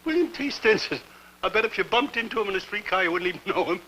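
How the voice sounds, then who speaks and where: A man's voice: sits high, expressive, fast, sharp tone, a little hiss or hum, rough recording. An older actor playing a mild, polite grandfather who has been forced to retire, in a 1950s television drama. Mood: wondering. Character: gentle, wistful old grandfather.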